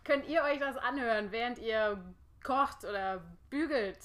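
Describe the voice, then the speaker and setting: A woman's voice: a little high, unhurried, fairly bright tone. A woman in her late twenties from the Lake Constance area, co-hosting a casual podcast in a chatty, giggly, self-mocking way. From Germany, Lake Constance area. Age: 20s. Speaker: podcast co-host. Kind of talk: casual chat.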